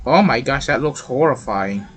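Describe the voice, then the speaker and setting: Man reacting casually over loud noise. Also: mid-range voice, animated, moderate pace. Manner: informal, repeats himself. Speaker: reaction video host. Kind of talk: casual live reaction commentary.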